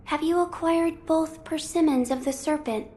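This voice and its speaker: A woman playing a gentle young holy maiden in a video game, speaking in a formal, measured and delicate way. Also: high voice, lightly acted. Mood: serene, solemn. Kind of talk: video game cutscene acting.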